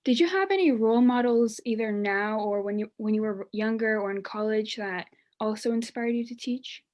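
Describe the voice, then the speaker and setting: A woman's voice: on the high side, moderate pace, darkish tone, highs cut off. A woman interviewer asking questions in a friendly, brief way. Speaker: student interviewer.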